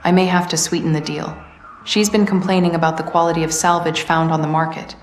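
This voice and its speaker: An actress playing a composed, pragmatic community leader briefing the player in a video game. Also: female voice, deep voice, level tone, fairly quick, echoey room, close to an everyday voice. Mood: practical, persuasive. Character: pragmatic female community leader handing out missions. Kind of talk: video game character dialogue.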